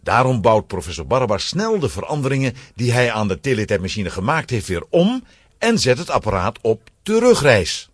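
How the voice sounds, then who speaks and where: A man's voice: mid-range voice, big pitch swings, normal speed, breathy edge, dry recording. A man narrating a scripted children's story with dramatic suspense.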